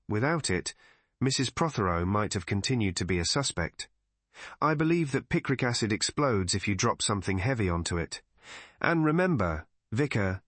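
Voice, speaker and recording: A shrewd, elderly lady sleuth of a cozy mystery audiobook, played by a male narrator. The delivery is calm, measured and methodical, laying out each deduction step by step. The sound is close and dry. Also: on the low side, no room sound. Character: shrewd, calm elderly woman amateur sleuth explaining a murder.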